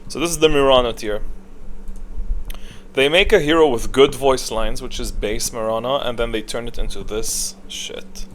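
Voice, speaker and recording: A man with a medium-pitched voice talks off the cuff about games, like a creator ranking things for his viewers. He is blunt, opinionated and slangy. There is noticeable noise behind his voice. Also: moderate pace. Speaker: gaming video creator. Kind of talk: casual off-the-cuff tier list commentary.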